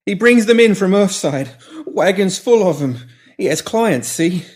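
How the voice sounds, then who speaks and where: A man's voice: high voice, animated, a little slow, a little mellow, pitched above the performer's own voice. A man voicing a seedy male pimp in an audiobook story, breathless, pleading and babbling in desperation. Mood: desperate, babbling.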